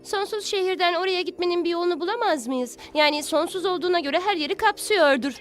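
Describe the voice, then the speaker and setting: A woman voicing a bossy, playful teenage heroine in a cartoon dub, brisk and assertive. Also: extremely high pitch, soft background music. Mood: reasoning. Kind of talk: dubbed cartoon acting.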